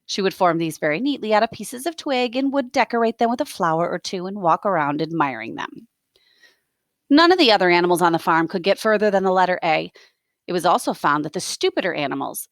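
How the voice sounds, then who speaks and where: A woman reading a story aloud as a teacher, expressive, with explanatory asides. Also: on the low side, brisk, no room sound, studio quality.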